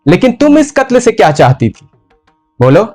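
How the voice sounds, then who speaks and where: A male actor playing a ruthless, tormented medieval sultan in a slow, deliberate theatrical monologue with heavy pauses. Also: high-pitched, sing-song, a little mellow, faint music behind. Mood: accusing, defiant. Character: brooding, ruthless, tormented medieval sultan confessing his murders. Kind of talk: dramatic theatrical Hindustani monologue.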